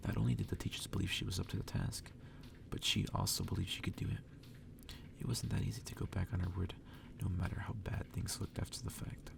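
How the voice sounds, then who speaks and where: A man reading a story aloud in a hushed, breathy ASMR whisper. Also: fairly even intonation, fast. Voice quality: breathy whisper, gravelly low voice. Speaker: ASMR reader.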